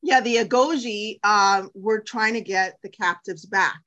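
A middle-aged woman co-hosting a podcast, chatting casually with quick enthusiasm and frequent laughter. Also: medium pitch, fairly bright tone. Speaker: podcast co-host. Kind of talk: casual chat.

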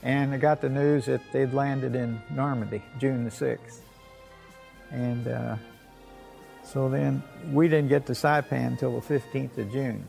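Gravelly elderly man recalling wartime. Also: medium pitch, fairly even intonation, measured pace, a little mellow, faint music behind. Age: 80s or older. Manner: rambling, plain-spoken storytelling. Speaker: war veteran recalling his service. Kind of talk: unscripted oral-history reminiscence.

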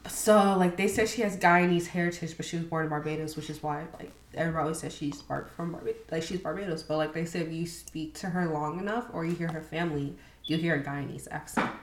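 Casual young female co-host, slightly low voice, chatty slangy storyteller, roomy echo. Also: steady tone, fast talker, slight background noise. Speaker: podcast co-host. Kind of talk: casual chat.

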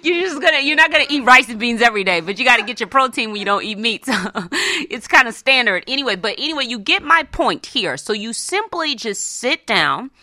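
A woman's voice: fairly high pitch, lively intonation, brisk, bright, forceful tone, dry recording. A woman hosting a radio show, giving conversational, motivational advice in an emphatic way, repeating phrases to drive points home.

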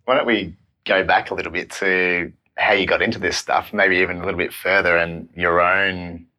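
A man's voice: low voice, fairly even intonation, normal speed, sharp tone. An Australian man in his thirties hosting a casual, conversational interview. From Melbourne, Australia. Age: 30s. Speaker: podcast host.

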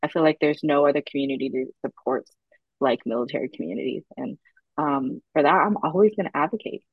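Low-voiced woman guest, dry dull mic. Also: brisk, husky, no echo. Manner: earnest, animated storytelling. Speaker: podcast guest. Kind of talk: casual interview chat.

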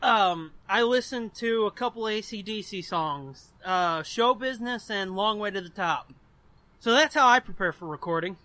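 A man with a notably high, bright voice, recorded close and dry. He talks casually as a podcast co-host, with blunt, sweary jokes. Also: moderate pace, no echo. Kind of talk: casual banter, answering listener questions.

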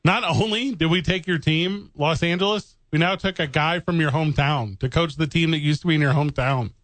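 A man's voice: on the high side, a little fast, a bit bright, no echo. A man from the St. Louis area co-hosting a casual sports podcast, riffing and joking. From St. Louis area, USA. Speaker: podcast co-host.

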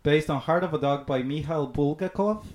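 A man's voice: fairly high pitch, moderate pace, a little mellow. A man co-hosting a film podcast in casual chat, laughing at himself as he stumbles over names. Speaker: podcast co-host. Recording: echoey room with faint noise.

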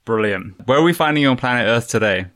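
A man's voice: medium pitch, lively intonation, quick pace, a bit bright, no echo, clean studio sound. A man hosting an interview, asking curious questions and chatting at length. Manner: inquisitive, chatty, explains at length. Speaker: podcast host. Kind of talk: interviewing, conversational.

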